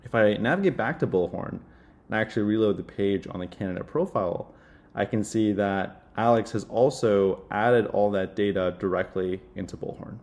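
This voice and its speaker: A young man with a low-pitched voice. He talks casually, as if demoing a product indoors, and his delivery halts often on filled pauses. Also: darkish tone. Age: young adult. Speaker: software demo presenter playing a job candidate. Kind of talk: casual product demo and phone screen.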